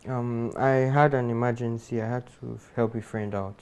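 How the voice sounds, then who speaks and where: An actor playing an evasive young adult son in a film drama, with a Nigerian accent and a pleading, defensive manner. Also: male voice, medium pitch, steady tone, normal speed, a little mellow, clear, resonant tone, natural voice. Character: evasive, placating grown son under family pressure.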